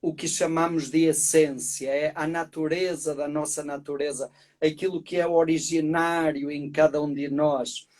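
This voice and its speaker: A middle-aged man from Portugal, a priest, explaining calmly and reflectively as a guest on a live video call. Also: a little high, normal speed, hardly any pauses. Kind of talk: live-stream conversation.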